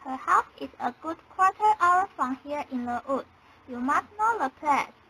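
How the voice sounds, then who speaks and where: A very high, bright little girl, acted out by a woman in a storybook radio play. She is innocent and polite, speaking in a sweet, wide-eyed sing-song. Faint noise sits behind the voice. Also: animated, moderate pace, exaggerated voice, poor audio. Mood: polite, trusting.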